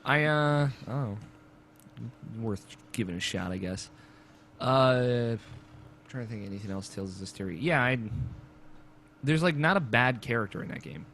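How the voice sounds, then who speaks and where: A man's voice: medium pitch, expressive, normal speed, long pauses, a bit bright, slight background noise. A man hosting a podcast from a small home studio, talking laid-back and casually about games, swearing now and then. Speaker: podcast host. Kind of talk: casual gaming chat. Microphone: desk mic on arm, headphones.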